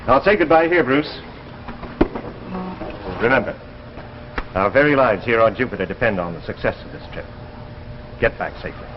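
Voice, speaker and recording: A man plays a firm, grave mission leader in a 1950s radio play. His mid-pitched voice is formal and emphatic, heard through a muffled old recording with faint noise. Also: expressive, normal speed, long pauses, lightly acted. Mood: solemn. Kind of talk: 1950s radio drama acting.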